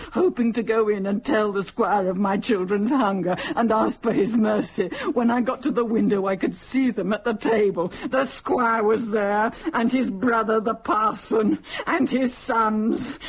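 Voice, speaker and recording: An actress playing a starving, embittered village widow and mother near death in an audio drama, speaking mournfully and solemnly with a husky voice. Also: on the high side, rough recording.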